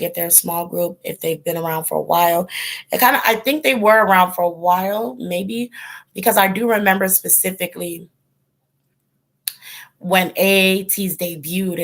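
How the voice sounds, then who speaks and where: Gravelly, fading-voiced woman streamer. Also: on the low side. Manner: rambling and informal, heavy with ums and likes. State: losing her voice. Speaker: host of a tarot channel, chatting with live viewers. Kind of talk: casual livestream chat.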